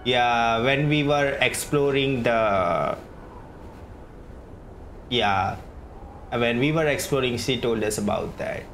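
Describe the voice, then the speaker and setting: A man doing casual playthrough commentary as a gaming streamer, halting as he thinks aloud. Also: medium pitch, fairly bright tone, low-quality recording. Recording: game music behind voice. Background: video game music.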